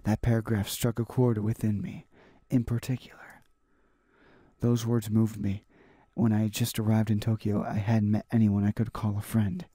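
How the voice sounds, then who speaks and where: A man hosting a gaming video, reading a visual novel aloud in a halting way, stumbling and repeating phrases. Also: lowish voice, level tone, warm tone, no echo, studio quality.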